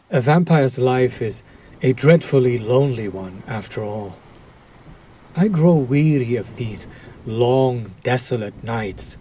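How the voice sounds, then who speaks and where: A man's voice: medium pitch, dark tone, husky, poor audio. A man playing a courteous, mocking vampire lord in a roleplay audio, speaking in a silky, theatrical, condescending way. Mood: seductive, weary. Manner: silky, theatrical, condescending, lingering over words. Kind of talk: dramatic roleplay audio addressed to the listener.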